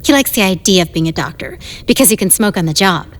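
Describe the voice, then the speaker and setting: A woman's voice: lowish voice, expressive, fast talker, big-room sound, lightly acted. A young woman acting in an audio drama as a moody, insecure teenage girl who bosses a 1950s gang, wistful and defensive.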